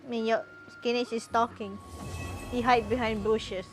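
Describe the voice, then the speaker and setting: A woman's voice: fairly high pitch, steady tone, normal speed, soft background music. A woman with a Malaysian accent giving casual live commentary while streaming a game.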